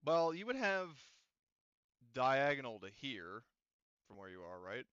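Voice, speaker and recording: Male game master, forceful clear tone, thinking aloud through rolls in casual speech, dry slightly dull recording. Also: mid-range voice, very animated, unhurried, no room sound. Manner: thinks aloud while narrating dice results. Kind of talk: running an online tabletop roleplaying game, casual.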